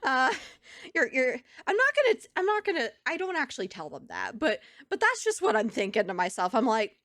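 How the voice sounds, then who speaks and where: A woman streamer chatting casually with her live chat, telling stories in an animated way and laughing often. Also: sits high, brisk, dry recording, clean studio sound. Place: home streaming setup.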